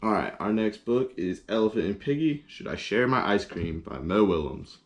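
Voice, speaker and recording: A man's voice: on the low side, lively intonation, normal speed. A man reading children's picture books aloud as a storyteller, in simple language with short explanatory asides.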